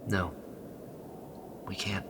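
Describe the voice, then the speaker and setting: An actor playing an earnest, caring and determined young rebel in a sci-fi audio drama. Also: male voice, extremely slow, background noise. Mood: somber, resolute.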